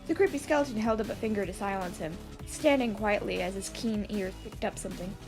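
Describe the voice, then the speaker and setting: A woman's voice: mid-range voice, a little fast, music in the background. A woman reading scripted fan fiction as the narrator of an audio story. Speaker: podfic narrator.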